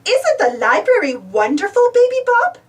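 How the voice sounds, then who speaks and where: A woman's voice: very high-pitched, wildly swooping pitch, moderate pace, distant mic, voice pushed up, full character voice. A woman voicing a cheerful woman storyteller in a storybook, enthusiastic.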